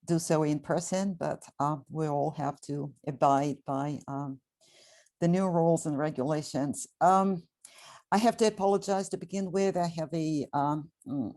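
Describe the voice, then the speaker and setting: A middle-aged woman giving a measured, factual guest lecture over a video call, her voice hoarse, with frequent fillers. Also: low voice, darkish tone. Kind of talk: prepared lecture.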